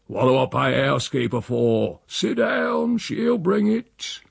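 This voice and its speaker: Husky, bawling old country rogue. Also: male voice, medium pitch, big pitch swings, slow pace, no room sound, studio quality, pitched above the performer's own voice, heavily acted. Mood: bossy. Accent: rural English. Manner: goading, blunt, dialect-speaking. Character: bawling, cantankerous, roguish old country uncle.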